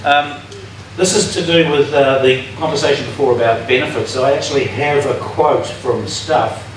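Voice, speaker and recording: A man's voice: medium pitch, moderate pace, slight background noise. A man, an audience member at a public forum asking a pointed question read partly from notes. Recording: echoey hall from far off.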